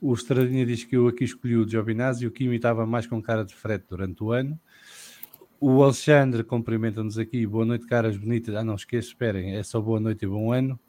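A man hosting a podcast, reading listener messages in a brisk, matter-of-fact way. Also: medium pitch, fairly even intonation, mellow. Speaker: podcast host.

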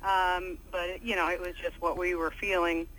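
A woman singer talking as a radio guest, modest and soft-spoken, laughing lightly and using many "you know" fillers. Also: on the low side, level tone, moderate pace, slight background noise, poor audio. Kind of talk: casual radio interview.

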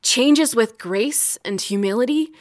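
An actress playing a wronged, weary wife in an audio drama, speaking in a deliberate, accusing way, grave and resolute. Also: female voice, a little high, natural voice. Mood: solemn.

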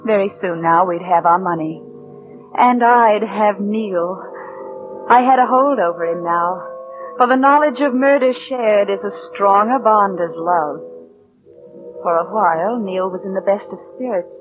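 A woman's voice: medium pitch, a little slow, over music, natural voice. Actress as a calculating woman, tinny radio. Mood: chilly, confiding. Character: cool, calculating, possessive murderous niece. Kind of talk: 1940s-50s American radio suspense acting and first-person narration. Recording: tinny old radio recording with noise.

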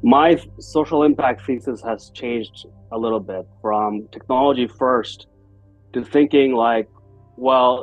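A man in his forties from Bangladesh speaks as a podcast guest, thoughtful and earnest, pausing on fillers as he works through ideas. His voice sits at a middle pitch. The audio is a little muffled, with faint music in places. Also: no echo. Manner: reflective, earnest, with frequent fillers. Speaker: guest, entrepreneur. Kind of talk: conversational interview.

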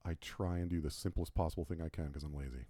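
A man's voice: rumbling low, fairly even intonation, fast talker, mellow, dry recording. A man co-hosting a casual podcast chat, rambling and self-mocking as he tells stories. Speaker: podcast co-host and logo designer.

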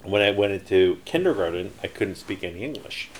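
A man's voice: deep voice, lively intonation, brisk, rough recording. A middle-aged man telling his life story in a casual interview, rambling with many fillers and restarts. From Canada. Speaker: interview guest, entrepreneur.

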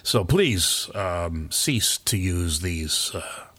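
An older man, a former pirate radio DJ, telling a rambling, anecdotal story in a relaxed interview. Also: low voice, extremely slow, no room sound. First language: not French; English speaker. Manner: rambling, anecdotal, with fillers and chuckles.

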